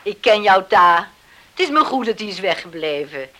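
A woman's voice: medium pitch, big pitch swings, moderate pace, bright, forceful tone, a little hiss or hum. A woman playing a kindly, plain-spoken village mother in a Dutch radio drama, frank and motherly in manner.

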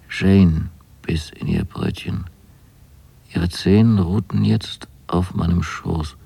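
Radio drama son,: deep, dark, mellow delivery, fastidious and naive, precise literary monologue. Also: male voice, creaky, slightly rough, no echo, heavily acted. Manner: measured, precise, deliberate storytelling. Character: young man writing letters to his mother, wryly fastidious and naive.

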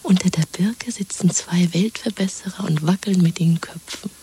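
An actress playing a tender, dreamy young woman lover in a 1970s German radio drama, speaking in an intimate, coaxing, hushed whisper. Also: on the low side, moderate pace, a little hiss or hum, natural voice. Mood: playful, hushed. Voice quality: breathy, whispering.

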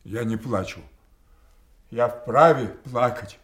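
An older man playing a proud, anguished old king wronged by his daughters, in declamatory classical tragedy style that swings between hushed and thundering. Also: mid-range voice, slow. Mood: wounded fury. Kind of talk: classical stage tragedy acting.

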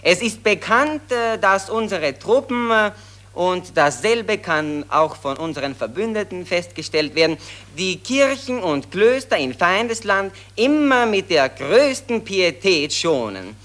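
An actor playing a jolly, self-satisfied Austrian army lieutenant in 1940s radio drama style, speaking in colloquial officer slang. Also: male voice, high-pitched, big pitch swings, unhurried, a bit bright, exaggerated voice. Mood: reading, self-righteous. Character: jolly, complacent Austrian army lieutenant reading newspapers aloud.

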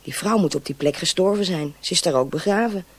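An actress playing a dreamy, romantic young woman in love in a radio drama, wistful and often hushed and breathy. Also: fairly low pitch, brisk. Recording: clear outdoor nature noise.

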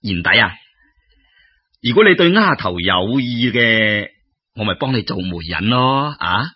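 A man's voice: lowish voice, expressive, measured pace, husky, dull audio. A man voicing a genial, worldly travelling merchant in an audio story, coaxing and drawing out his phrases. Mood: teasing.

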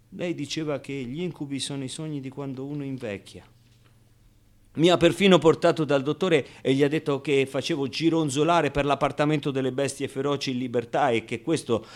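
A man playing a naive, streetwise young orphan boy in a theatrical radio monologue, telling the story with halting, hesitant pauses. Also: a little high, natural voice.

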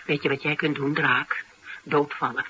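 Actrice als felle, bijdehante vrouw in ruisende hoorspelopname. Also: female voice, low-pitched, fairly even intonation, normal speed, close to an everyday voice. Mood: defiant, scornful. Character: tough, sharp-tongued, determined woman interviewer sheltering a fugitive. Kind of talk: Dutch radio drama acting. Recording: noisy old radio drama recording.

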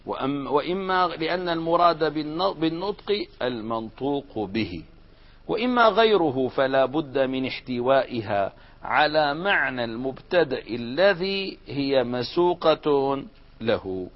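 Arabic grammar teacher, dull lo-fi recording. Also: male voice, medium pitch, expressive, unhurried. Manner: explains step by step, asks students questions and answers them. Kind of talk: classroom grammar lesson.

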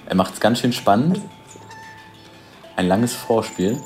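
Attentive onlooker saying what she sees, really deep voice, short bits, audio drama, soft music under. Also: animated, takes it slowly, full character voice. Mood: observant. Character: attentive woman describing scenes to a companion. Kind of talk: audio drama, scene commentary.

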